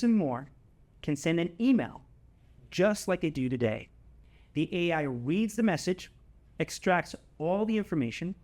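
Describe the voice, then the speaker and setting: A man with a slightly high voice delivers a scripted product announcement. He speaks as a company presenter addressing clients, stressing points emphatically and repeating key phrases. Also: a little mellow.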